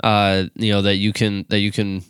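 A man's voice: on the low side, monotone, normal speed, smooth, no echo, clean studio sound. A man in his late twenties, a youth pastor co-hosting a podcast, talking casually with many fillers and restarts. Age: 20s.

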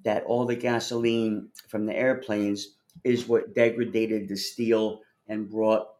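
A man's voice: fairly low pitch, little pitch movement, slow, darkish tone. A man hosting a casual call-in discussion, talking in a blunt, rambling way with plenty of swearing. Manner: profane, blunt, rambling explainer. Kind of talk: casual chat.

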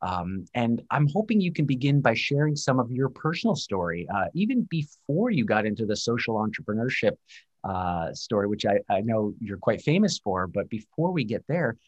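A man's voice: medium pitch, very animated, normal speed, dull audio. A man in his forties hosting an online interview series, chatty, with frequent fillers. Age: 40s. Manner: chatty, filler-heavy, self-deprecating. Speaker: host.

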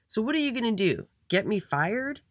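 A storyteller voicing a boastful, dramatic young schoolboy in a children's story, whiny and loud. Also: medium pitch, big pitch swings, moderate pace, dry recording, studio quality, voice pushed up. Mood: cocky. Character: little Andy, boastful dramatic schoolboy recess monitor.

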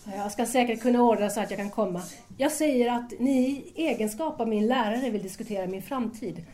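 A woman's voice: on the high side, mellow, natural voice. A woman playing an eager, admiring young student in an audio drama, curious and deferential. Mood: trusting, curious.

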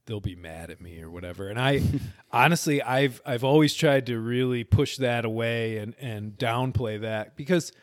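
A man's voice: mid-range voice, moderate pace, dry recording, clean studio sound. A man in his forties hosting a podcast, talking in an earnest, rambling way with easy laughs as he interviews an old friend. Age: 40s. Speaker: podcast host. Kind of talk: casual conversational interview.

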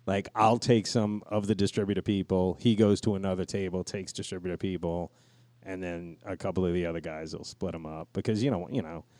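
A man with a fairly low voice talks loosely in a podcast style. He rambles through stories, swears freely and slips into quoted asides. The sound is close and dry, with little room. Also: mellow, no room sound. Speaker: podcast co-host. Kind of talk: casual podcast chat with profanity.

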